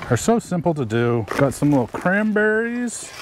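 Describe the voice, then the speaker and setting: A man co-hosting a casual outdoor cooking demo, talking in a relaxed, chatty way as he explains each step. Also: high-pitched, normal speed, noisy background. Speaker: cooking show co-host. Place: outdoor campsite. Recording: music playing behind.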